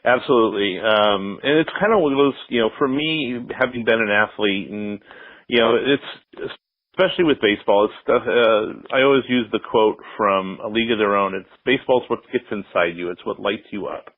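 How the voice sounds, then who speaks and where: A middle-aged American man answering interview questions as a sports announcer, talking casually and reflectively in a rambling way with frequent pauses. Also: fairly low pitch. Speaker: interview guest, sports public address announcer. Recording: roomy, slightly muffled phone-like line.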